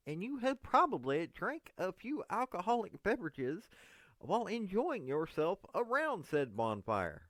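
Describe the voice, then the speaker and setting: A man's voice: a little high, fairly bright tone, no room sound. A man in his fifties from East Tennessee with a Southern accent, talking straight to camera in a folksy, meandering, earnest way. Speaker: political commentator. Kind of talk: direct-address monologue to a politician.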